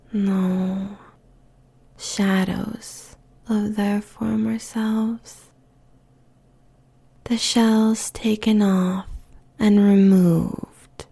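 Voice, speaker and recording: A woman's voice: mid-range voice, creaky, warm tone, husky, heavily acted. A woman playing a seductive, possessive demon succubus queen in a roleplay audio, whispering breathily and savouring her words with teasing sensuality.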